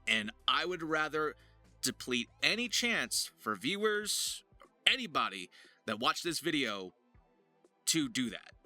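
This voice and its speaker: A man's voice: on the high side, big pitch swings, slow pace, pushed and bright, dry recording, faint music behind. A man hosting an informal voice-acting tutorial, talking straight to viewers like an earnest coach. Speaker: voice actor and video host.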